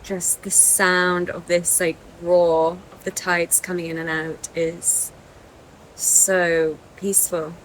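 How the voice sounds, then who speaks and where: Calm English woman vlogging by the sea. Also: on the low side, takes it slowly, slight background noise, poor audio. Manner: soft-spoken, calm and reflective. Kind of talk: casual travel vlog narration. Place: outdoors by the sea.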